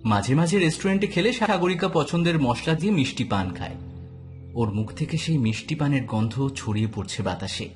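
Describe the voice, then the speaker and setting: A man narrates a scripted detective story. His mid-pitched voice rises and falls in an animated way, with light music playing underneath. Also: brisk.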